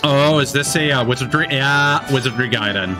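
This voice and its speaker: A man's voice: medium pitch, moderate pace. A man chatting casually as a streamer reacting to a game showcase. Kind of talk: casual chat. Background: show music.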